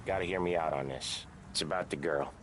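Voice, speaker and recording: An actor playing a gruff, earnest mob enforcer in a video game cutscene. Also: male voice, brisk, background noise. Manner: gruff, low-key and earnest.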